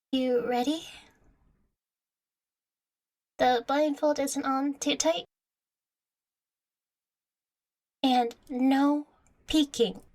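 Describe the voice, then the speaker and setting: A woman's voice: high-pitched, a little mellow. A woman playing a playful, affectionate young catgirl girlfriend in an intimate audio roleplay, teasing, coaxing and tender with the listener. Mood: excited.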